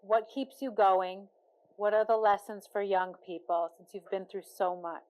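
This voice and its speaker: A woman moderating a live interview, taking audience questions and repeating them back clearly. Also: medium pitch, fairly even intonation, unhurried, darkish tone, low-quality recording.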